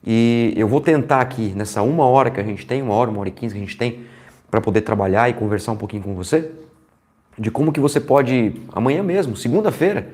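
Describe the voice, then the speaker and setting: Slightly hoarse Brazilian man teaching live. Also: mid-range voice, fast talker, darkish tone. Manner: chatty, greets viewers by name, keeps checking in with the audience. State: slightly hoarse from a stuffy nose. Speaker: strength training educator hosting a live stream. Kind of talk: casual live-stream lesson.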